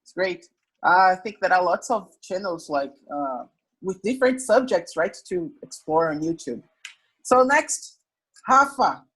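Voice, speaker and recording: Husky-voiced man moderating a call. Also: high voice, animated, measured pace, a bit bright, poor audio. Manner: friendly, guiding, asks questions. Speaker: host, moderator. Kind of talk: moderating an online discussion.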